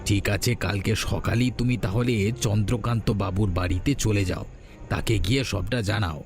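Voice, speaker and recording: A conspiratorial, easily led village husband acted by a man in a cartoon moral tale. He speaks in a low voice with a questioning, uneasy manner. Soft music is heard behind him. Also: a little fast, lightly acted. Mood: worried, agreeing. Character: complicit younger husband, anxious, easily led schemer.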